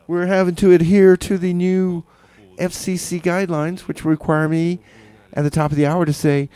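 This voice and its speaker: A man hosting a community radio music show, chatting casually in a halting, rambling way with deadpan comedy. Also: fairly high pitch, clear, resonant tone, dry recording. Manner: hesitant, rambling, halting with repeated words. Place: small community radio studio.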